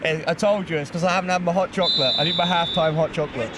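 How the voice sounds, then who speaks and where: High-voiced man calling a football game. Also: fast, background noise. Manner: lively, jokey play-by-play, laughs easily. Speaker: play-by-play commentator. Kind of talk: live sports commentary.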